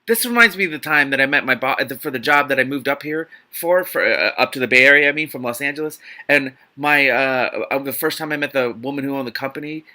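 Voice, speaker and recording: A man's voice: medium pitch, quick pace, hardly any pauses, bright, forceful tone. An American man giving informal, joking asides during a read-aloud, irreverent, incredulous and given to swearing. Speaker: host reading a book aloud with commentary.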